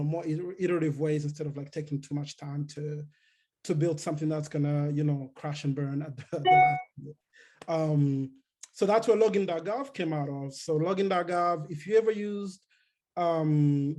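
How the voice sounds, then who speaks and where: Man from Rwanda, mellow casual talk. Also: a little high. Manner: relaxed, many fillers and restarts. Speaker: guest speaker.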